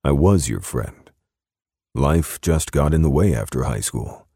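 A man playing a stoic, protective bodyguard in a restrained, soft-spoken and terse way. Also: extremely low pitch, animated, a little slow, breathy edge, no echo, studio quality, exaggerated voice. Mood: calm, firm.

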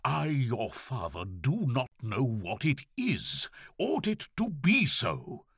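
A man's voice: rumbling low, big pitch swings, slow, breathy edge, dry recording, clean studio sound, exaggerated voice. Man acting a harsh, insistent old priest. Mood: anguished pleading. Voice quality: loud, harsh. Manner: formal, insistent, emphatic. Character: stern, tall, heavy old priest father, proud and pained.

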